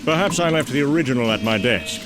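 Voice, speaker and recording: A man playing a dutiful older butler, formal, deferential and gently reproving, in a comic book drama. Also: medium pitch, expressive, fast, natural voice. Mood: concerned. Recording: loud music under the voice.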